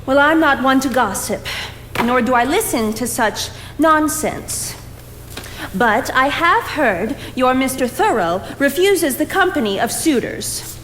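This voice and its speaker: A woman's voice: high voice, lively intonation, measured pace, a bit bright. An actress playing a prim, judgmental young woman in a stage play, formal and haughty in her disapproval. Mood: indignant. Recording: echoey theatre stage with background noise.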